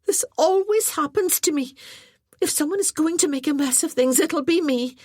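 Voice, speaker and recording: A woman's voice: sits high, normal speed, a little mellow, no echo, studio quality, voice pushed up, natural voice. A woman voicing a clumsy, tearful young noblewoman who talks in a breathless, apologetic rush. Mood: nervous, eager.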